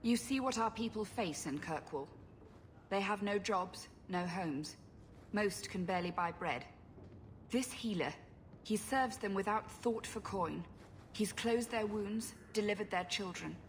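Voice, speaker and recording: Actress as a guarded, no-nonsense refugee leader. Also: female voice, measured pace, close to an everyday voice. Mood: protective, firm. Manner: firm, plain-spoken. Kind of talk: video game voice acting. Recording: faint rumbling noise behind the voice.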